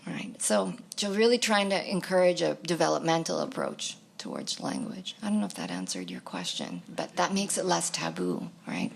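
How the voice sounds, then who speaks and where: A woman's voice: sits low, sing-song, moderate pace, gravelly, few pauses, a little hiss or hum. A woman whose first language is Greek, answering a question on a symposium panel in a thoughtful way, searching for words and often ending on "right?". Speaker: academic panelist. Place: university lecture hall.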